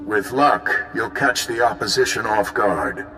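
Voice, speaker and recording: Crisp, low-voiced spy chief. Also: male voice, expressive, measured pace, pushed and bright, in a large hall, soft background music. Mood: brisk, urgent. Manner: businesslike, decisive, urgent. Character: gruff, brisk male spy chief giving mission briefings. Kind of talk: video game cutscene acting.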